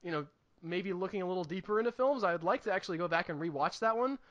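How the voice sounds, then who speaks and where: A man with a notably high, bright voice talks casually as a movie podcast co-host. He rambles earnestly, working out his opinions as he goes. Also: expressive, quick pace, highs cut off. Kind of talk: casual movie review chat.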